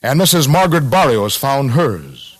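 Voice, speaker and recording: A man narrating a 1950s radio documentary in a solemn, dramatic, measured manner. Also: mid-range voice, sing-song, slightly rough. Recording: 1950s radio broadcast with music.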